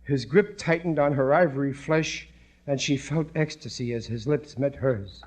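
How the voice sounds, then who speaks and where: An actor playing a bumbling, excitable working-class husband in a 1950s radio comedy, earnest and thick-headed, stammering and blustering with rough grammar. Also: male voice, mid-range voice, moderate pace, lightly acted. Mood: agitated. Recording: noisy vintage 1950s radio broadcast.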